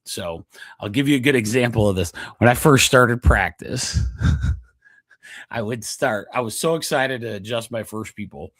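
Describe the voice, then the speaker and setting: Middle-aged male podcast host, nasal and slightly husky, casual rambling health talk. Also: medium pitch, a little slow, a bit bright, dry recording. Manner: chatty, digressive, laughs often, checks in with "okay?".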